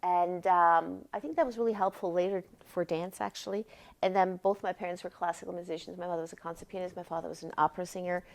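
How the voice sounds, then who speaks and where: A woman in her sixties with a Swiss accent, recalling her life informally and haltingly in an interview, thinking aloud as she goes. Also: fairly low pitch, steady tone, vocal fry. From Switzerland. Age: 60s. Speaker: former dancer and choreographer being interviewed. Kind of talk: oral history interview, personal recollection.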